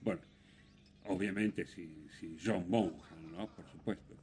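A man presenting a music radio show with unscripted commentary, speaking in a halting, digressive way and stressing key words, in a husky voice. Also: deep voice, darkish tone, a little hiss or hum.

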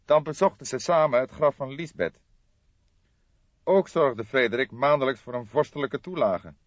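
A stern, gloomy gentleman of middle age, as a man reads him in an audiobook. His pitch is raised and his delivery is solemn, formal and emphatic. The recording is dull and roomy. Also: normal speed, lightly acted. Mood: earnest. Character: stern, gloomy, formal middle-aged male friend of the deceased.

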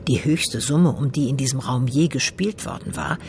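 Low-voiced, poised female narrator. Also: moderate pace, vocal fry, darkish tone, a little hiss or hum. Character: poised female literary storyteller. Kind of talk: scripted narration in German radio drama. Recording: faint background ambience.

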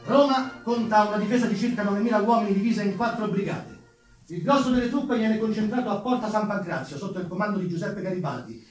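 A man delivering dramatic theatrical narration of history, declamatory and emphatic. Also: high-pitched. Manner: declamatory, emphatic, measured pauses. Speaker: stage actor, narrator. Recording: roomy, distant stage sound with music.

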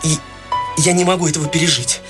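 A man's voice: on the high side, fairly even intonation, fast talker, over loud music, lightly acted. An actor playing an earnest, devoted young man in a radio play, with a shy, sincere way of talking. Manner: earnest, shy, turns urgent when alarmed.

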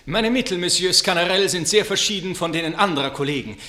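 A man's voice: high-pitched, quick pace, bright, forceful tone. A young man acting a gallant, pompous lover-doctor in a radio play, with florid, precise theatrical diction. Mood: boastful. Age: young adult. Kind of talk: declamatory stage comedy in radio drama.

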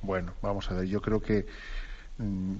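A man's voice: low voice, little pitch movement, unhurried, a little mellow. A man from Spain, a priest hosting a live radio catechism program, explaining earnestly with many fillers and tag questions. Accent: Spanish from Spain. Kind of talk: live radio teaching and answering callers. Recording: dull lo-fi radio broadcast.

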